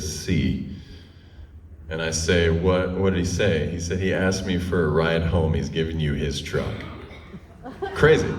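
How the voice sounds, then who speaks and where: A man telling a personal story in a conversational, comic style, with dramatic pauses and animated retelling. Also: low-pitched, a little hiss or hum. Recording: roomy echo, distant microphone.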